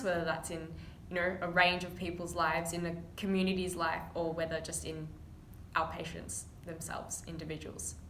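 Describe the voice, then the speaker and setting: Young woman, earnest interview answer, bright voice, roomy echo, faint hiss. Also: on the low side. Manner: earnest, with many fillers. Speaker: medical student.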